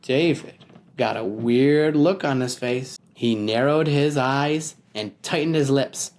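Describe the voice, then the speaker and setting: A man hosting and narrating a children's story in an expressive, animated read-aloud style, addressing listeners directly. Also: mid-range voice, takes it slowly. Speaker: storytelling podcast host and narrator.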